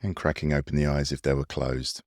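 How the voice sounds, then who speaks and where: A man leading a guided breathing meditation in a soothing, gentle, unhurried manner. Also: extremely low pitch, flat delivery, no echo, studio quality. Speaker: meditation guide and mindfulness coach.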